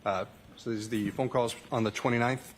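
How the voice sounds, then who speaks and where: A man's voice: mid-range voice, steady tone, fairly quick, slight background noise. A man testifying as a state trooper in court, reading out records in a matter-of-fact, formulaic way. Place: courtroom witness stand.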